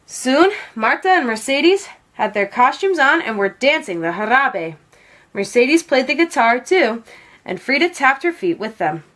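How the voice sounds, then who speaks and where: Animated, high-voiced woman reading a storybook. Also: unhurried. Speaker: storybook read-aloud narrator. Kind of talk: expressive scripted storybook narration.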